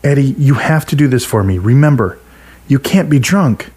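A man's voice: mid-range voice, lively intonation, normal speed, a little mellow, husky, voice pushed up. A man voicing a demanding, nervous young woman, an insistent girlfriend in an audio short story. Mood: stern, worried.

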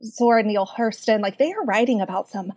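A woman's voice: fairly high pitch, brisk, darkish tone. A woman with a Southern US accent, chatting as a podcast guest and laughing often. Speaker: podcast guest, book club community manager. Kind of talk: casual chat.

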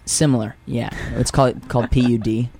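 A man's voice: mid-range voice, level tone, a little fast, vocal fry, warm tone, slight background noise. A young man, a singer and guest on a radio show, talking casually in a rambling way with lots of fillers and self-deprecating jokes. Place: radio studio.

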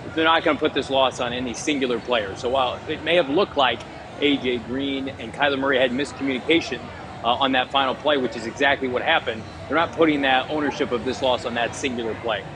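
A man with a mid-range voice gives a brisk, measured postgame report from a stadium tunnel. Loud crowd and stadium noise fills the background clearly. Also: mid-range voice, steady tone. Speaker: field reporter.

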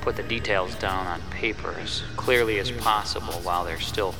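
A man narrating a journal entry in a radio drama, measured and reflective. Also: fairly low pitch, fairly even intonation, close to an everyday voice. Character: male artist writing a journal entry, calm narrator. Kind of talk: scripted narration. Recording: radio play narration over clear music.

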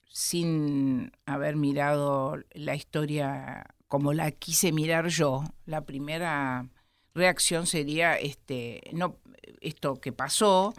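An Argentine woman historian with an Argentine accent, a guest in an interview who explains at length with many pauses. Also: deep voice, no room sound, studio quality. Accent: Argentine Spanish. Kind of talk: studio interview.

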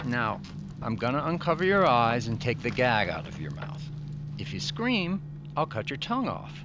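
An actor playing a menacing, falsely polite kidnapper in an audio drama, courteous and coolly amused. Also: male voice, mid-range voice, big pitch swings, moderate pace, noisy background. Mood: calm menace. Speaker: villain. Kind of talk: scripted audio drama acting. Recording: music bed under the voice. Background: music.

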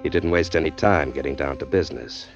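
An actor playing a streetwise, hardboiled drifter who narrates in a tough, dry, wisecracking way, in 1940s radio acting. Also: male voice, very deep voice, brisk, gravelly. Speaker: narrator and lead. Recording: dull lo-fi 1940s radio recording, faint music.

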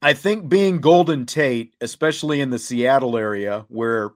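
A man hosts a sports talk show in a casual, conversational way. His voice sits at an average male pitch and he talks as in an easy chat about games. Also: moderate pace.

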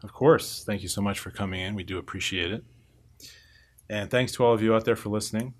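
Low-pitched male podcast host. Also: fairly quick. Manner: conversational, frequent "uh" and "um" fillers. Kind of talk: podcast hosting and interviewing. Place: podcast studio.

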